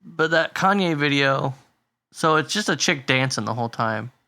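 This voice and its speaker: A man's voice: fairly high pitch, expressive, moderate pace, bright, forceful tone, no room sound. A man co-hosting a podcast about music, chatting casually with blunt opinions and frequent laughs. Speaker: podcast co-host. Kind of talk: casual chat.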